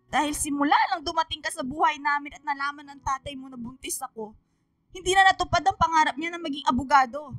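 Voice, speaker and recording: A woman's voice: high-pitched, a bit bright, no room sound. A woman playing a strict but protective, struggling single mother in a Filipino radio drama. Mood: sorrowful, tender.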